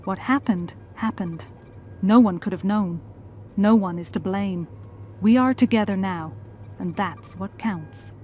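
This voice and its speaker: A woman's voice: medium pitch, unhurried, darkish tone, lightly acted. A woman playing a thoughtful, gracious scientist and mother in a fan audio drama. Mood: consoling. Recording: faint background noise.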